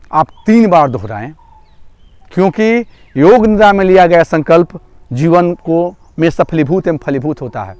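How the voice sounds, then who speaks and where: Casting: male yoga nidra instructor, high smooth voice, repetitive step-by-step guidance, dry close sound. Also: a little mellow, no echo. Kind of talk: guided relaxation instruction. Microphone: microphone through a loudspeaker.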